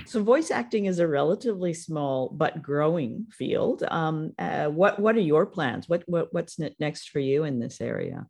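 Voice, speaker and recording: A woman's voice: deep voice, expressive, moderate pace, vocal fry, few pauses, a little mellow, husky. A woman hosting an interview in an encouraging, affirming way. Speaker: interview host. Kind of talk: casual interview chat.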